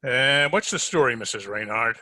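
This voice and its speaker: An actor playing a wry, hard-boiled heavyset private detective, narrating in 1940s radio style. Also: male voice, medium pitch, animated, normal speed, bright, forceful tone. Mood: inquiring. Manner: wry, wisecracking.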